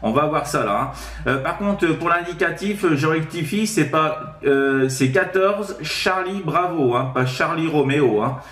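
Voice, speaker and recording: A man's voice: fairly high pitch, animated, unhurried, a bit bright, roomy sound. A man making casual radio-contact chat as an amateur operator, repeating himself and ending phrases on little tag words. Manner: repetitive, with frequent tag words. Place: indoors at home, sixth-floor flat.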